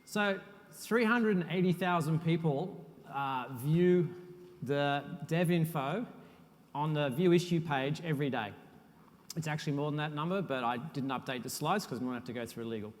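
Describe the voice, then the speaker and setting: Male conference presenter, slightly high voice, easygoing and self-deprecating, recorded in a reverberant hall. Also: moderate pace. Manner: relaxed, self-deprecating presenter with frequent uhs. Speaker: conference speaker, software architect. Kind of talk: technical conference talk.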